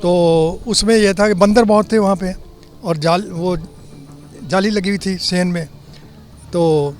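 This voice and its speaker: A man in his eighties, born in Delhi and living in Lahore, recalls his childhood migration as an interviewee, telling it in an unhurried way with plain homely detail. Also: high voice. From born in Delhi, lives in Lahore. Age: 80s. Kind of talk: reminiscing eyewitness account.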